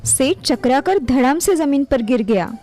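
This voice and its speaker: A woman's voice: high voice, expressive, unhurried, dark tone. A woman narrating a scripted moral story as a storyteller. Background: music behind the voice.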